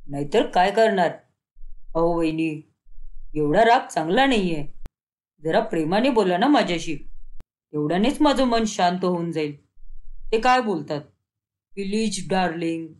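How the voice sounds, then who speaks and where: A woman puts on a leering village man for a cartoon story, dropping the pitch well down. He is cheeky and oily, drawling out taunting flattery. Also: measured pace, long pauses. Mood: taunting flirtation. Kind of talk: cartoon moral story dialogue.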